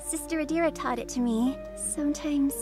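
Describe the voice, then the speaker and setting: A woman voicing Cornelia, a gentle, dreamy young girl who talks softly and sometimes whispers, heard as game audio with music behind. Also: high voice, normal speed, close to an everyday voice. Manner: soft, childlike, sometimes whispering. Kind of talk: voiced game dialogue.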